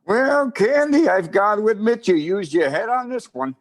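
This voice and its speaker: A man's voice: sits high, animated, moderate pace. A man playing a friendly, slangy police detective with tough-guy banter in a 1940s radio drama style. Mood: amused, impressed. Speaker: community theatre voice actor.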